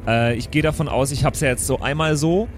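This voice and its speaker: A man with a medium-pitched voice takes his turn in a tabletop game. He speaks casually and haltingly as he works out his moves, with soft music in the background. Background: faint background music.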